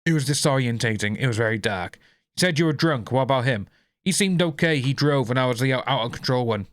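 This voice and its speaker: Welsh male commentator, mid-pitched voice, calm, measured read of trial testimony with brief asides, close dry sound. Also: no echo. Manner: steady, low-key reading with apologetic asides. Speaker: YouTube commentator.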